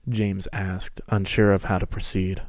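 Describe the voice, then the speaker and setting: A man's voice: low-pitched, flat delivery, a little slow, no room sound, clean studio sound. A man narrating a fan fiction story as a scripted dramatic reading.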